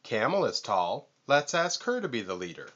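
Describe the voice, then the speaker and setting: A man's voice: mid-range voice, very animated, moderate pace, fairly bright tone, highs cut off. A man voicing an eager, helpful male lion in a children's story, earnestly making suggestions.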